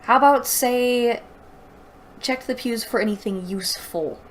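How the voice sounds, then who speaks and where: A woman's voice: fairly high pitch, unhurried, a little hiss or hum. A woman reading a story aloud and breaking in with sarcastic, exasperated commentary. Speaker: host reading and critiquing a fantasy novel aloud.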